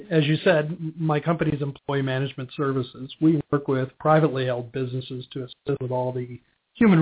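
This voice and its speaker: A man's voice: medium pitch, breathy edge. A middle-aged American man giving conversational answers as a business guest in an interview. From Wisconsin, United States. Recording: muffled narrow phone-like audio.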